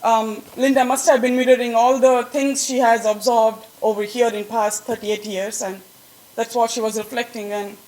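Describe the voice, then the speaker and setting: A woman's voice: on the high side, steady tone, normal speed, darkish tone, rough recording. A woman with an Indian accent giving a chatty, laughing tribute speech to a colleague at a staff event. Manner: chatty, laughing at own jokes. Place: event room with an audience.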